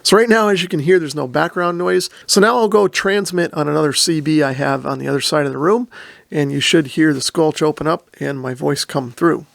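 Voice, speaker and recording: A man's voice: a little high, fairly quick, vocal fry, a bit bright, dry recording. A man explaining equipment methodically and plainly, step by step, as the host of a product demonstration. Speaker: host, radio reviewer. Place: indoor room.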